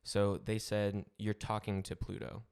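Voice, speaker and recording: A man's voice: lowish voice, completely flat delivery, measured pace, creaky, a little mellow, smooth. A man co-hosting a casual tech podcast who explains the news loosely, hedging often and leaning on "like". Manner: explains with hedges and "like". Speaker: podcast co-host.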